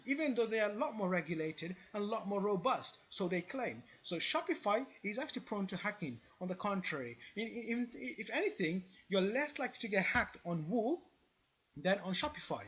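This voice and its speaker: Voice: medium pitch, sing-song, normal speed, a bit bright. An online presenter giving informal explanatory commentary in an opinionated, emphatic manner, often checking in with the listener.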